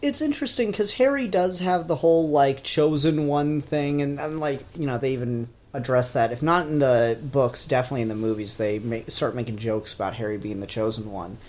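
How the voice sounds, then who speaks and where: A podcast co-host with a medium-pitched voice talks in a casual discussion style. The delivery is informal and sweary, with animated emphasis on the points being made. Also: brisk, hardly any pauses. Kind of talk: casual pop-culture discussion.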